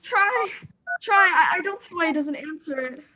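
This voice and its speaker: A woman's voice: extremely high pitch, expressive, moderate pace, pushed and bright, slight background noise, heavily acted. A woman playing a fretful, imperious bedridden wife in a suspense radio play, insistent and agitated. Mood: frantic, impatient.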